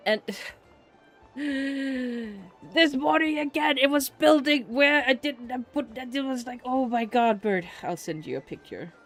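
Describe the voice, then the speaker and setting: A streamer chatting casually in a rambling, giggly, expressive way. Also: high-pitched, slow, soft background music. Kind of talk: casual livestream chat.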